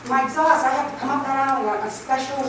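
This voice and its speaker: A woman's voice: sits high, quick pace. A woman resident speaking at public comment, rambling and scattered, apologizing as she goes. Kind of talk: public comment at a council meeting. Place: council chamber. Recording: echoey chamber, far from mic, noisy.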